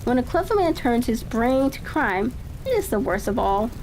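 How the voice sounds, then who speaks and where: A woman reading the part of a brisk, observant male Victorian detective in a storybook, asking deliberate, probing questions. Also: fairly high pitch, very animated, a little mellow, slight background noise, poor audio. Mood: intent.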